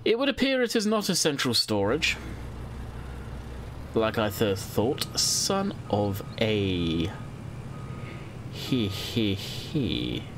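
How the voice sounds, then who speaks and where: A man's voice: on the low side, wildly swooping pitch, takes it slowly, long pauses, a bit bright, a little hiss or hum. A young man with a stuffy, congested voice, chatting casually as a gaming channel host and thinking aloud while he plays. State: congested from a cold. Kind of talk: casual let's-play commentary.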